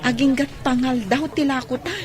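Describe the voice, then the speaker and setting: A woman's voice: high-pitched, steady tone, moderate pace, lightly acted. A woman with an Ilocano accent playing a sympathetic young village schoolgirl in a radio drama. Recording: crowd chatter behind the voice.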